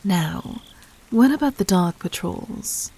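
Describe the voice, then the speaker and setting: A woman playing a poised demon queen in an audio roleplay, speaking formally to the listener with teasing condescension and breathy, whispered touches. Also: fairly low pitch, expressive, slow pace, warm tone, heavily acted. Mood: intrigued.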